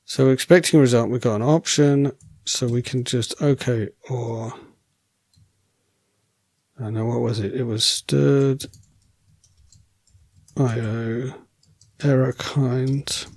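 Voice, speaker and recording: A man thinking aloud while writing code as a programming tutor, relaxed and wry, correcting himself as he goes, and losing his voice. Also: medium pitch, slow pace, long pauses, dry recording.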